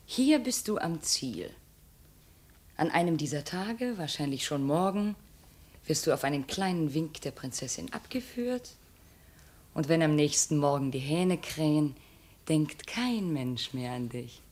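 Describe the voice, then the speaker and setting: An actress playing a proud, bitter, jilted princess now enslaved, speaking reproachfully in formal 1950s German radio drama style. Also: female voice, low voice, animated, unhurried, darkish tone. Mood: cold, threatening. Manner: reproachful, cutting, formal stage diction.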